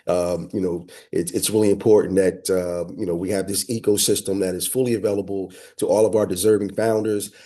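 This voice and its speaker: Man, congested, slightly nasal, low mellow voice, affable webinar presenter, video call. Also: flat delivery, a little fast, few pauses. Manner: affable and upbeat, heavy on filler words.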